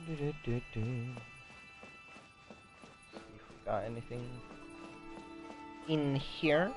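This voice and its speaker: A man's voice: mid-range voice, takes it slowly, over music. A man giving casual gameplay commentary, playfully mimicking and humming to himself. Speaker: streamer playing a game.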